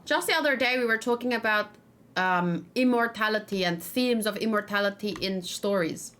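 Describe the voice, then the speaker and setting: A woman's voice: mid-range voice, normal speed, fairly bright tone. A woman chatting casually as she plays a game on stream, thinking aloud in short, musing fragments. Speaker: gaming livestream host. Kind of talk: casual let's play commentary. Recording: background noise with keyboard typing. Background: faint noise, keyboard typing.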